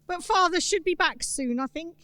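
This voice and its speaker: A woman playing a sorrowful, tender mother of a poor family in a radio play, wistful and gentle. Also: very high voice, normal speed, no echo. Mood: grieving, tender. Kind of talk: theatrical radio play acting.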